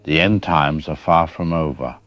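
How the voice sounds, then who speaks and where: A man reading a slow, grave scripted outro as the series announcer. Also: very deep voice, a bit bright, dull audio.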